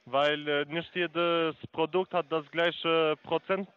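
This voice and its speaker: A man with a foreign accent talks through a phone sales pitch, stumbling over his grammar and filling gaps with hesitations. His voice is smooth and sits a little high. The line sounds dry and dull, like a muffled phone recording. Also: level tone, dry recording. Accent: foreign accent in German. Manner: halting, many fillers, broken grammar. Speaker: scam call center agent.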